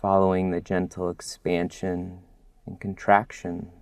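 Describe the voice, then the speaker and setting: A man leads a guided meditation in a low, level voice. He speaks very slowly and gently, leaving long soothing pauses between short phrases. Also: monotone, no room sound. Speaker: mindfulness instructor. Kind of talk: guided body scan meditation.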